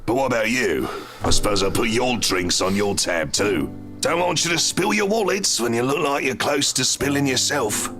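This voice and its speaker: A teasing barkeep with a bright, forceful voice that swings widely in pitch. He is an easygoing, jovial game character, with faint music behind him. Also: animated, moderate pace. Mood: relaxed, teasing. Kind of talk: visual novel game voice acting.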